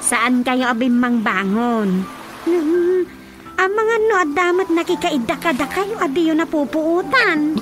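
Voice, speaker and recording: A woman's voice: sits high, expressive, slow pace, music in the background. A woman playing a nagging young daughter in a comic radio drama, questioning and scolding. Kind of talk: comedic radio drama acting.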